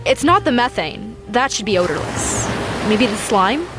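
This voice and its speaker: A woman's voice: on the high side, sing-song, normal speed, over music. A woman playing a chatty, curious space traveler in a sci-fi comedy audio drama, hesitant and given to theatrical exclamations. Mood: curious, musing.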